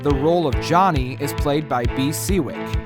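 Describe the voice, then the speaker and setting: A man's voice: a little high, moderate pace, music in the background. A man reading scripted show credits as an announcer.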